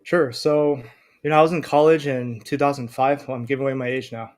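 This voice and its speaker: An American man in his thirties, a scholar answering interview questions in a friendly, measured way. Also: mid-range voice, steady tone, a little mellow. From United States. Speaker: guest scholar.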